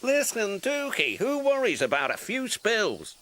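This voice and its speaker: A man's voice: wildly swooping pitch, a little slow, fairly bright tone, a little hiss or hum, exaggerated voice. A man voicing a cheeky, teasing young steam engine in a children's storybook. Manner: high-pitched, teasing, sing-song. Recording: music behind the voice.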